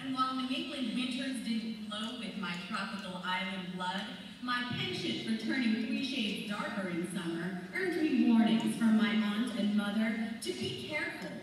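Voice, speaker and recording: A woman performing spoken word poetry, her voice slightly high. She recites in a measured, emphatic way. She is distant from the mic, and the hall echoes at length over a faint hiss. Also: lively intonation.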